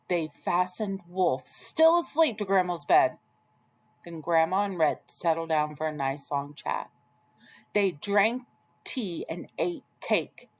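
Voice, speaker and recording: A woman narrates a children's tale in an expressive read-aloud style. She takes her time with each phrase and moves her pitch through wide, animated swings. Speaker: storyteller.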